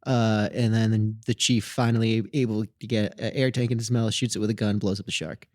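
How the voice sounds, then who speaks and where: A young man co-hosting a casual movie podcast, jokey and brisk when he sums up a plot. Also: fairly low pitch, level tone, dry recording, studio quality. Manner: jokey, rapid-fire plot recap, puts on silly voices. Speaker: podcast co-host.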